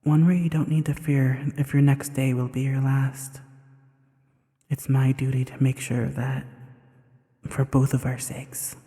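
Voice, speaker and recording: An evil sorcerer played by a man speaks intimately to the listener, possessive yet soothing. The voice is very dark and soft-edged, with little melodic movement. It rings in a long reverb, as in a fantasy audio roleplay. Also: mid-range voice, flat delivery, measured pace, lightly acted. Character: possessive, tender evil sorcerer, adult, softly menacing.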